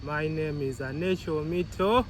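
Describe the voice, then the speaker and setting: A man's voice: on the high side, unhurried. A man in his thirties from Uganda, a reggae artist answering interview questions and laughing often. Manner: laughs often, animated, repeats himself. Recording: outdoor street noise.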